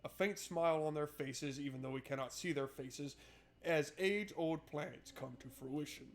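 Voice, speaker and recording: A man's voice: a little high, moderate pace. A man doing casual, joking playthrough commentary and reading game narration aloud in an informal way. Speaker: game streamer.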